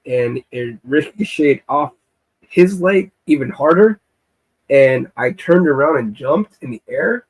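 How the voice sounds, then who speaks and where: Male musician guest, husky voice, slightly high, laughs through his stories. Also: takes it slowly, rough recording. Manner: animated storyteller who laughs often. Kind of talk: casual chat on a live stream.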